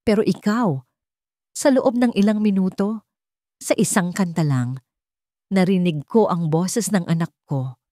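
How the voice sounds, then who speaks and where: A woman's voice: medium pitch, unhurried, long pauses, warm tone, no room sound, studio quality, natural voice. Woman voicing a cold, insistent businessman father. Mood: pained. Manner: curt, insistent. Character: cold, impatient wealthy businessman father, pleading and commanding. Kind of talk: dramatic romance audio story.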